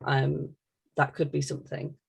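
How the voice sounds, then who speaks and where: A woman's voice: low voice, little pitch movement, moderate pace, gravelly, darkish tone, slight background noise. A woman hosting a casual, chatty podcast. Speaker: podcast host reading listener questions.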